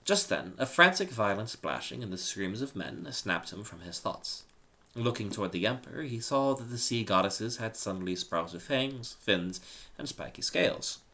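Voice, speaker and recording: Male narrator for humorous fiction, lower-pitched voice, dramatic and very expressive storytelling. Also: moderate pace. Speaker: story reader.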